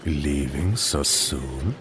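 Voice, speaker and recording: A sly French mercenary villain for a game cutscene, with a very low voice and a French accent. He drawls his taunts slowly and smugly. Clear music runs behind him. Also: lively intonation, full character voice. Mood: smug, wheedling. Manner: drawling, taunting, foreign phrasing. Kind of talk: scripted video game villain acting.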